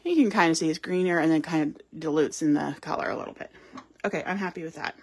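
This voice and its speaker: A woman's voice: sits low, fast talker, slightly rough. A woman giving a live card-making demonstration, chatting and explaining as she works, with frequent ums. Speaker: craft store demonstrator. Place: craft table at home or in a studio.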